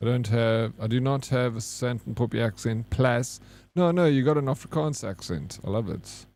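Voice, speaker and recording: Mellow South African man streaming a game. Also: medium pitch, moderate pace. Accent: South African English. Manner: joking, teasing banter with chat. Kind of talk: casual gameplay commentary.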